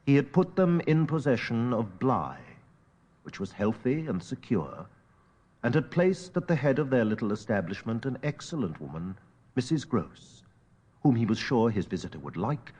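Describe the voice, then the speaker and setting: Casting: educated gentleman telling a ghostly tale, measured and grave, widely swooping intonation, dull-edged recording. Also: mid-range voice, big pitch swings, slightly rough. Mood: measured storytelling. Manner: measured, theatrical, with weighty pauses. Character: educated Victorian gentleman recounting a haunting memory, grave and wistful. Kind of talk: dramatic literary audiobook narration.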